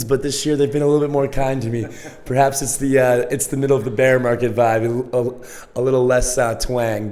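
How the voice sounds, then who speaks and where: Upbeat mellow-voiced male show host. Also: mid-range voice. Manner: upbeat, chatty, many filler restarts. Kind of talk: casual interview hosting. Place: conference venue.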